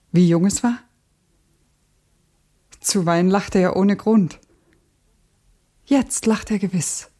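An actress playing a grieving, fervent young female revolutionary in a radio play, speaking intensely, solemnly and insistently, at times hushed. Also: fairly low pitch. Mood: exalted, solemn. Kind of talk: dramatic radio play acting.

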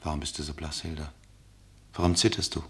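An actor playing a tender, troubled traveller in love, speaking huskily and intimately in a radio play. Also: male voice, rumbling low, level tone, fairly quick, exaggerated voice. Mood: worried, tender. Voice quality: husky, gravelly. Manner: intimate, pleading. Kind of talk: mid-century German radio play acting.

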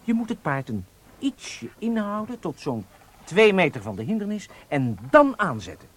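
Commanding, high-pitched, animated riding master. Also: male voice, moderate pace, exaggerated voice. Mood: firm, insistent. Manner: commanding, insistent, encouraging. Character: strict, confident male riding instructor and undercover agent. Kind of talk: Dutch radio drama acting.

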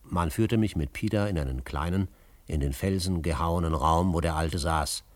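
An actor playing a calm, commanding frontier adventurer in a German radio drama, measured and authoritative. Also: male voice, low voice, no echo, natural voice. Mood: measured narrating.

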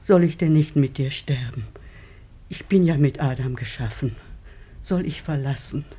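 An actress playing a grieving, devoted aged wife in a classical radio play, pleading in a broken, breathy, declamatory voice. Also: female voice, very deep voice, moderate pace, mellow. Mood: anguished pleading. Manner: anguished, declamatory. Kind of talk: solemn classical German radio play acting.

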